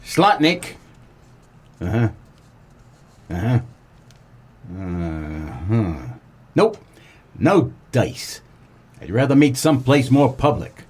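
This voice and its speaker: An American man playing a world-weary, wisecracking 1940s private eye in a comedic noir radio play, with deadpan melodrama. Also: medium pitch, slow pace, long pauses, husky, full character voice. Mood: brisk, deadpan. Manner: deadpan, melodramatic tough-guy delivery.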